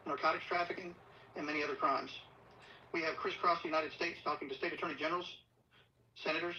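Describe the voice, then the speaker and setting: A distant, dull-sounding playback of a middle-aged man giving an official statement. His voice is very sharp and forceful, solemn and deliberate, like an earnest public appeal from a police official. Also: fairly high pitch. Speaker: assistant police chief. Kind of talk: earnest prepared video statement. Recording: distant, muffled playback with faint noise. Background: faint background noise.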